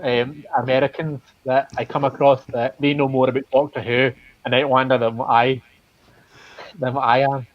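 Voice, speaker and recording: A man with a thick Glaswegian accent chats as a guest, hesitant and self-deprecating, filling his pauses with ums. His voice comes through a video call that sounds muffled and dry, with no room around it. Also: medium pitch, level tone, dry recording. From Glasgow, Scotland. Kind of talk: casual livestream chat. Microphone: video call webcam.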